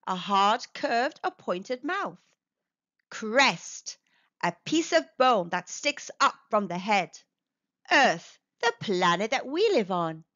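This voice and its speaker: A woman reading an educational children's book aloud as narrator, enunciating clearly in an expressive, teacherly way. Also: a little high, no echo, dull audio.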